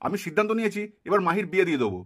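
A man's voice: high-pitched, sing-song, racing, husky, full character voice. A man voicing an insistent village family man in a puppet drama. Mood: firm. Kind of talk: dramatized Bengali puppet-show dialogue.

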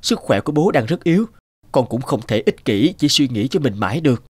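A man voicing an earnest young man in an animated moral tale, polite and hesitant in manner. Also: medium pitch, a little fast, breathy edge, no room sound. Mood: troubled. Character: earnest young man, hesitant heir hiding his wealth.

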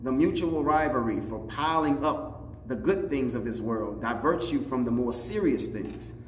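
A middle-aged man, an imam, giving a religious teaching address in a deliberate, explanatory way, repeating phrases for emphasis. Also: mid-range voice, animated. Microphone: lapel mic. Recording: echoey room, reverb, faint background noise.

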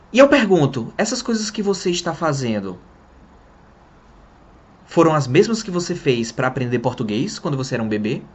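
A young man from Brazil giving a casual English lesson to camera, talking with his hands and stressing his points as he goes. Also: fairly high pitch. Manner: lively, talks with his hands. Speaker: English teacher. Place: small room in front of a printed wall.

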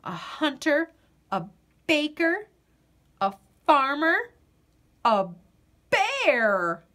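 A woman reading a children's picture book aloud, dramatic and deliberate, with drawn-out pauses. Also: sits high, big pitch swings, pushed and bright. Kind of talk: expressive children's storybook narration.

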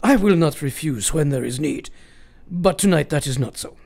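A grave male professor played by a woman in a radio drama, eccentric and emphatic. The voice is gravelly and swings widely in pitch. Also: animated, darkish tone, full character voice. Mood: solemn, insistent. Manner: solemn, deliberate, emphatic.

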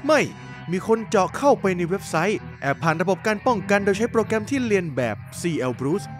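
A voice actor playing a calm, sly father in a fan-dubbed cartoon, answering in short, dry remarks. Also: mid-range voice, a little fast, faint music behind, natural voice. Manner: laconic, drily needling. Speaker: father at the poker table. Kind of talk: Thai fan dub of a cartoon.

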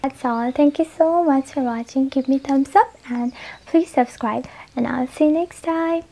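A young woman from Nepal hosting a casual reaction vlog, soft-spoken and laughing often. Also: high voice, measured pace. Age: young adult. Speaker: YouTube reaction host. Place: indoor room with red backdrop and fairy lights.

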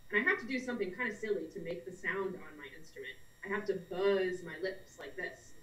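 A woman's voice: on the low side, a little fast, fairly bright tone, a little hiss or hum. A woman in her thirties presenting her instrument to children as a musician, in a friendly show-and-tell style. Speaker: French horn player presenting her instrument. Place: small home room with a piano. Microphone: webcam at a short distance. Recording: roomy, distant webcam sound.

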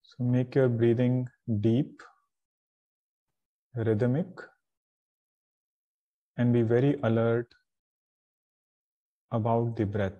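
A man leading a guided meditation as a spiritual teacher, giving calm, deliberate instructions in short phrases with pauses. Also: mid-range voice, dull audio.

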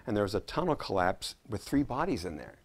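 A man in his sixties, a scriptwriter chatting as a guest in a relaxed interview, mixing jokes with earnest stories. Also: fairly low pitch, a little fast. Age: 60s. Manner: joking, earnest storyteller. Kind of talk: casual interview chat. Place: living room set, indoors.

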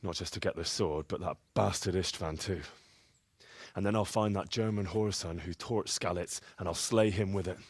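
A man's voice: low voice, fairly even intonation, normal speed, slightly rough, dry recording. An actor playing an earnest, plain-spoken young medieval man in a video game cutscene. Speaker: young hero, son. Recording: soft game music behind.

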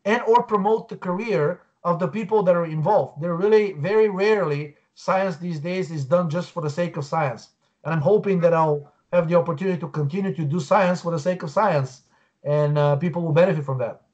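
A man's voice: fairly high pitch, fairly quick, a little mellow. A man in his forties from Bulgaria, a guest on a video call, explaining earnestly with frequent restarts. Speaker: guest researcher. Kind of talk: interview over video call. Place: home room, indoors. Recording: dull lo-fi call audio.

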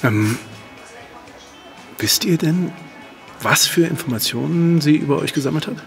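An actor playing a careful, probing interviewer in an audio drama, polite and hesitant. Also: male voice, a little high, expressive, a little slow, gravelly, a bit bright, soft background music, heavily acted. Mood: tentative. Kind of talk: naturalistic audio drama acting.

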